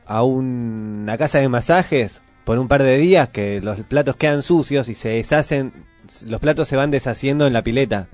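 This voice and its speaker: A man's voice: medium pitch, moderate pace, darkish tone, no room sound, faint music behind. A man with a Buenos Aires Argentine accent in casual chat as a radio co-host.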